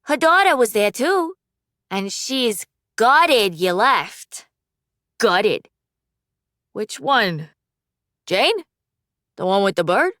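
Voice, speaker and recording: A woman's voice: medium pitch, sing-song, pushed and bright, no room sound, studio quality, full character voice. A woman voicing a young sibling who teases and fires off nosy questions. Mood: gossipy. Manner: rapid-fire teasing questions.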